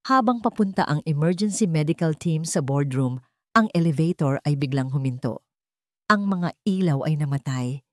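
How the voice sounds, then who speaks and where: A woman's voice: sits low, lively intonation, normal speed, dry recording, clean studio sound. A woman with a Filipino accent reading a scripted dramatic story as a narrator.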